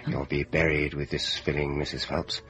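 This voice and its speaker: An actor playing a genteel dentist in a radio play, precise and soothing with quiet menace. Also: male voice, a bass voice, flat delivery, normal speed, very noisy. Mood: brisk, soothing. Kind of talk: naturalistic radio drama acting.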